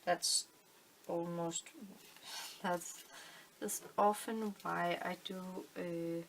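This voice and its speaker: A middle-aged woman from Denmark with a Danish accent, calmly explaining a fabric-flower craft at her table, thinking aloud with many fillers. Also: lowish voice, drawn-out words, creaky, slight background noise, low-quality recording. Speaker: crafting channel host. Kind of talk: casual craft tutorial. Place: indoors at a table, hands on camera.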